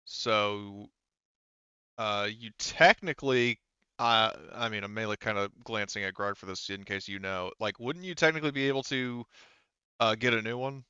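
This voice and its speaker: Guy game mastering online, smooth low voice, kinda muffled close mic, keeps stopping to think and fix what he said. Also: fairly even intonation, sharp tone, dry recording. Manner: thinks aloud, halting, self-correcting. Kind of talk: running an online tabletop roleplaying game, casual talk.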